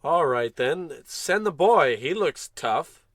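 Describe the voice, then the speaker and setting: A man acts out a self-important American space captain from a comic radio play. He barks orders grandly and drops callous, dry asides in a fairly high male voice, recorded close and dry. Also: expressive, takes it slowly, a bit bright, no room sound, exaggerated voice. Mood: puzzled, impatient. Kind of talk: comic old-time radio play acting.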